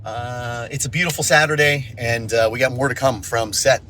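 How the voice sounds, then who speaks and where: Bright-voiced man vlogging casually. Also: mid-range voice, steady tone, normal speed. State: sniffly from allergies. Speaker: audio drama director and producer vlogging. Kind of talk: casual behind-the-scenes vlog. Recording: faint background noise.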